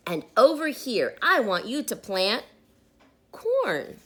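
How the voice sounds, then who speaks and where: A woman's voice: fairly high pitch, very animated, takes it slowly. A woman voicing a grumpy, demanding male storybook character who speaks in a curt, scolding way.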